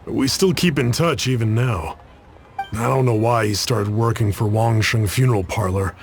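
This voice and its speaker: A man's voice: on the low side, normal speed, slight background noise, close to an everyday voice. An actor playing a reserved, dry-spoken young man who is wary of strangers, in a game cutscene. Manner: dry, guarded, thoughtful.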